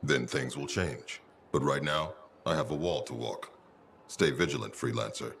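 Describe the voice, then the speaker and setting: An actor playing a stern, proud veteran commander in a video game, speaking in a curt, formal, commanding way. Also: male voice, moderate pace, gravelly, fairly bright tone, a little hiss or hum, exaggerated voice. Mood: stern, measured. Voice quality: very deep. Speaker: military commander. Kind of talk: scripted video game dialogue.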